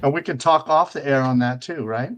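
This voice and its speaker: A man's voice: mid-range voice, fairly quick, fairly bright tone. A man in his seventies hosting a casual live-stream talk show, rambling with many fillers and restarts. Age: 70s.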